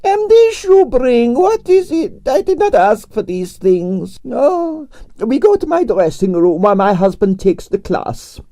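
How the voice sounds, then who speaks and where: A man voicing a flamboyant aging Russian ballerina with a Russian accent and broken English, in a high, swooping, theatrical voice for an audiobook. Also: sing-song, unhurried, mellow, dry recording, heavily acted. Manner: broken English, grand declarations.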